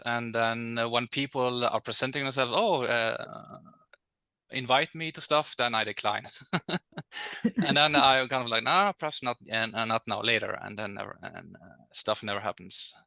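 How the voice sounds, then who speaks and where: Norwegian-accent guy, hesitant, lots of uhs, bright smooth voice. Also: mid-range voice, no room sound. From Norway. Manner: hesitant, many pauses and fillers. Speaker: podcast guest.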